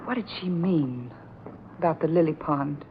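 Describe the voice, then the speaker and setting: An actress playing a gentle, troubled young bride-to-be, earnest and plaintive in 1940s radio drama style. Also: female voice, low voice, normal speed, slight background noise. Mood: puzzled. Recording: dull vintage radio drama recording. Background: music.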